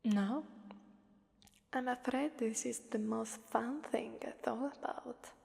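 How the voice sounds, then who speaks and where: A seductive female demon who rules a fighting arena, played by a woman. Her voice is fairly high and very slow, slipping into breathy half-whispers as she taunts with playful menace. It rings out in a long, hellish reverb, in the style of an ASMR roleplay. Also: fairly even intonation, darkish tone, exaggerated voice. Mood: dark, seductive. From Italy. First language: Italian.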